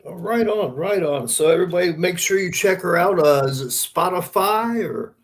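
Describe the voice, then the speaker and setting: A man's voice: sits high, moderate pace. A man hosting a podcast in a casual, conversational style. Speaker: podcast host. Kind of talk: casual chat.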